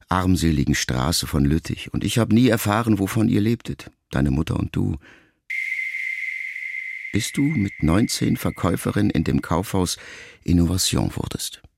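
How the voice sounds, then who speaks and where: An actor playing a melancholy, reflective writer son in a radio drama, speaking in measured, intimate, halting phrases as if to his mother. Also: low-pitched, lively intonation, vocal fry, darkish tone, full character voice. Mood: quietly bitter.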